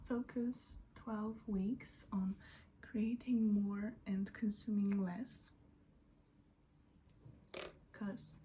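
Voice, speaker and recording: Soft-spoken, slow non-native English woman. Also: mid-range voice, a little hiss or hum, low-quality recording. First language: not English. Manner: soft, often half-whispered, rambling. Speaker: beauty and book vlogger. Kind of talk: get-ready-with-me chat. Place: at home in front of a mirror.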